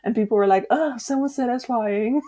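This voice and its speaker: A woman hosting a casual book discussion, opinionated and animated, often giggly as she talks. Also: a little high, moderate pace.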